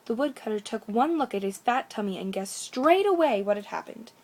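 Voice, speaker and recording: A woman's voice: a little high, lively intonation, fairly quick, darkish tone. A woman storyteller reading a children's bedtime story aloud with expression.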